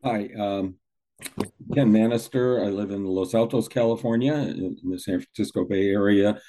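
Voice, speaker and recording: An older American man from Chicago speaks in a deep, warm, relaxed voice. He introduces himself casually as a retired professor. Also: normal speed, rough recording. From Chicago, United States. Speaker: group participant, retired professor.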